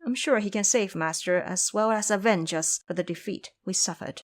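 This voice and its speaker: A woman's voice: medium pitch, moderate pace, lightly acted. A woman voicing an earnest, pleading young male dragon in horse form for an audio drama. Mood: earnest urging. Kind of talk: audio drama character acting.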